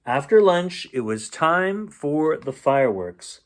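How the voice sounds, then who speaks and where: A man reading a children's storybook aloud in an expressive, playful storytelling manner. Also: fairly high pitch, slow pace. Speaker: bedtime story reader.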